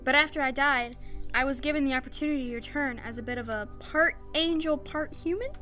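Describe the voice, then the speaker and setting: A woman's voice: high-pitched, fairly quick, sharp tone, faint music behind. A woman playing a breezy, matter-of-fact part-angel heroine in an audio drama.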